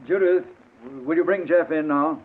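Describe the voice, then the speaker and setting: Actor as placating friend, tinny old radio. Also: male voice, fairly high pitch, fairly even intonation, normal speed, a little hiss or hum, natural voice. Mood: soothing. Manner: hesitant, placating. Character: placating male family friend, nervously reasonable. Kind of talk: old-time radio drama acting.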